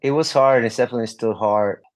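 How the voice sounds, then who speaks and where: A man's voice: mid-range voice, fast. A young adult man, a guest musician, answering interview questions openly in a rambling way, full of "like" fillers and restarts.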